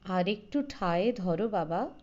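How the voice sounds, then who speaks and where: Lady doing a proud older village dad in an audio story, slow and coaxing, real pleased with himself, kinda lo-fi. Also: medium pitch, lively intonation, a little mellow, lightly acted. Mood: proud, requesting. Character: proud, boastful village father, older male amateur musician.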